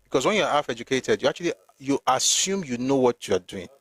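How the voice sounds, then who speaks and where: A man's voice: medium pitch, very animated, quick pace. A middle-aged man with a Nigerian accent, hosting an emphatic, argumentative opinion monologue with frequent restarts. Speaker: host.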